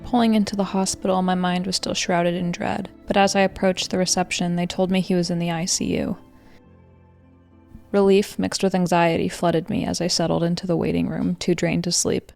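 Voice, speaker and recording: A young American woman narrates a personal story in a fairly low voice with audible fry. Her delivery is measured and reflective, and her pitch stays very even from phrase to phrase. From Colorado, United States. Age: 20s. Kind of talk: scripted first-person narration. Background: music.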